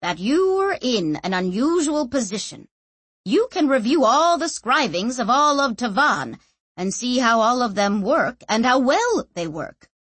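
A woman playing an excitable, blustering male scholar-inventor who speaks emphatically and trips into repeats. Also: on the high side, measured pace, slightly rough, no echo, pitched above the performer's own voice, exaggerated voice. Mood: intense, probing. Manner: ranting, emphatic, stammering repeats.

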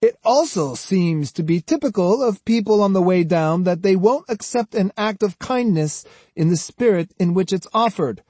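A man's voice: high-pitched, lively intonation, normal speed, mellow, no echo, pitched above the performer's own voice. A man voicing an arrogant, boastful young swordsman with a pompous, sneering and emphatic delivery in a storybook reading. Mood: snide, warning. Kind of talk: dramatised audiobook storytelling.

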